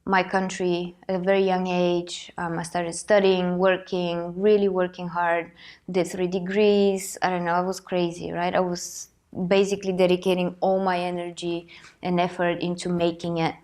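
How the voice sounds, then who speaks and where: A woman's voice: on the low side, flat delivery, moderate pace, darkish tone. Earnest woman host with a cold. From Bulgaria. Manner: earnest, reflective, thinking aloud. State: has a cold. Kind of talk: personal motivational talk to camera.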